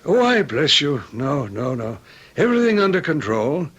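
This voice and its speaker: An actor playing a gentle, loyal middle-aged manservant in old-time radio drama, polite and hesitant. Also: male voice, a little high, big pitch swings, normal speed, husky, dull audio, full character voice. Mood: courteous, flustered.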